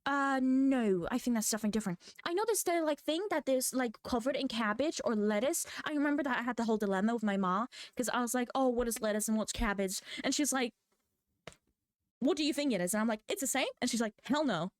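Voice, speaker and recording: Young woman, native Polish speaker, high voice, dry close sound, rambling chatty vlogger delivery. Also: fast talker, no echo. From Poland. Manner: chatty, laughs often, rambling. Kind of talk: casual rambling rant.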